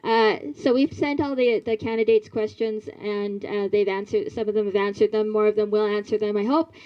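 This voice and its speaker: A woman's voice: mid-range voice, fairly even intonation, moderate pace, clean tone, dull audio. A middle-aged woman moderating a public forum outdoors, speaking in a chatty way with many fillers into a handheld mic. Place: outdoors at a community event.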